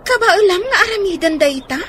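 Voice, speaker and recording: A very high Ilocano young woman's voice plays a chatty, curious cousin in a radio drama. Her pitch jumps about over a noisy recording. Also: animated, fairly quick, fairly bright tone.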